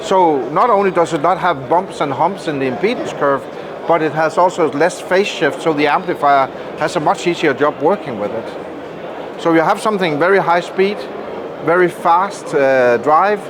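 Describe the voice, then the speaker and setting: Higher-pitched man explaining over loud noise. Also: normal speed. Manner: explanatory, emphatic, step-by-step. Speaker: loudspeaker maker, interview guest. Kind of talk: technical product explanation in interview. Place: indoor audio trade show room. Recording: loud trade-show background noise.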